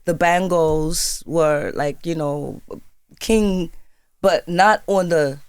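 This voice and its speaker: A young woman from Zimbabwe, telling stories as a guest in a casual podcast interview, animated and quick to laugh. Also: deep voice, clean tone, no echo, clean studio sound. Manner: lively storyteller, animated, laughs easily. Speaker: guest musician.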